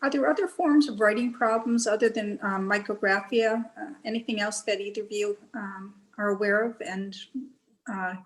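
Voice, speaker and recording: Woman relaying a question on a video call, lots of ums, mid pitch. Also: fairly even intonation, normal speed, rough recording. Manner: hesitant, many "um"s. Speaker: question reader.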